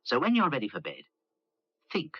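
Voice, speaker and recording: A man's voice: mid-range voice, huge pitch swings, moderate pace, noisy background. A man narrating a vintage public safety film, giving instructions in short, firm commands. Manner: instructive, clipped imperatives. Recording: dull vintage film soundtrack.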